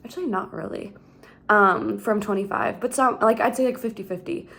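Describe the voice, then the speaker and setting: A woman's voice: mid-range voice, normal speed, vocal fry, fairly bright tone. A woman, chatting casually in a rambling vlog at home, full of "like" and "um". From Minnesota, USA. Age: 30s. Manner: rambling, chatty, heavy use of "like". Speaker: vlogger. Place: her home.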